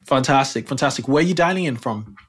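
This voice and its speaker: A man's voice: mid-range voice, animated, fast talker, a bit bright, slightly rough. A man hosting a casual podcast interview, talking in a rambling way with many fillers and restarts. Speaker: podcast host.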